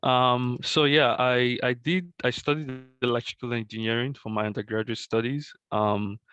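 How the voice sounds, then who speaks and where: A man from Nigeria, a current graduate student, answering webinar questions in a relaxed way with many fillers, over a video call. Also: medium pitch, normal speed, smooth, lo-fi sound.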